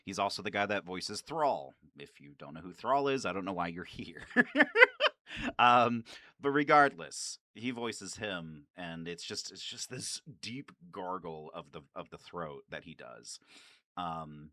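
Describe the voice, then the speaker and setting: A man's voice: sits low, lively intonation, unhurried, bright, forceful tone, no echo, studio quality. A man giving casual, nerdy, enthusiastic fan commentary about games, swearing freely as he goes. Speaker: video creator and gamer.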